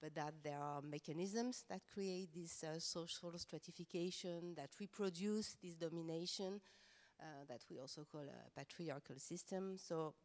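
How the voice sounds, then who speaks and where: A woman's voice: fairly low pitch, normal speed, darkish tone. A French woman speaking English with a French accent, a professor on a panel who talks earnestly and hesitantly, with frequent fillers. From France. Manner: earnest and passionate, many hesitations. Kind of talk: round-table panel talk. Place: conference room.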